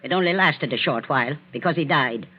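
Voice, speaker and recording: An actress playing a cold, imperious, scornful old bedridden matriarch in a 1940s radio drama. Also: female voice, low-pitched, animated, fairly quick, husky. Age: older. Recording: muffled vintage 1940s radio recording.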